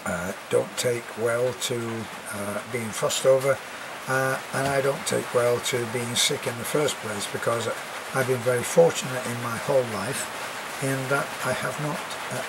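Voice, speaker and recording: An older British man talks casually to camera about everyday life abroad, rambling, with plenty of ums and restarts. His voice sits at an ordinary middle pitch and sounds a little congested from a lingering cough. Steady background noise runs beneath him. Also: a little slow. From Britain. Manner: rambling and chatty, many fillers and restarts. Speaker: expat vlogger.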